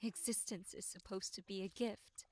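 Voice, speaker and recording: A woman voicing a tormented, despairing victim in a horror game monologue, with breathy delivery that slips into whispers. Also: mid-range voice, steady tone, normal speed, darkish tone, lightly acted. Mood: bleak resignation. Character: tormented, despairing young victim musing bleakly on existence. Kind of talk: dramatic first-person horror game monologue.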